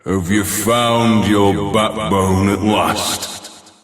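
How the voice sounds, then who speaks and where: A cavernous echo surrounds a proud, ancient immortal from a video game. The voice is very deep and moves extremely slowly. Every phrase is formal and haughty, with menacing amusement toward lesser mortals. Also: male voice, soft background music. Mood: imperious, challenging. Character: proud, ancient superhuman being who tests mortal challengers. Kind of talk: scripted video game character acting. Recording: cavernous echo with long reverb.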